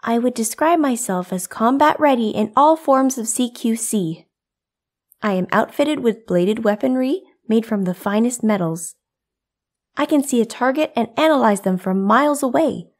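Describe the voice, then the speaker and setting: A woman voicing an earnest, polite young female android soldier in an animated drama, with formal, sometimes hesitant phrasing. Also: a little high, normal speed. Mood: polite, confident. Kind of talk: animated-series voice acting.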